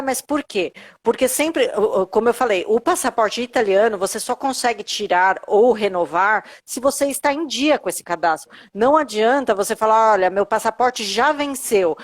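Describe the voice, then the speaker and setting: A Brazilian woman, a lawyer, explaining things step by step on a live video call, with lots of fillers and tag questions. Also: on the high side. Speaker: lawyer guest on Italian citizenship.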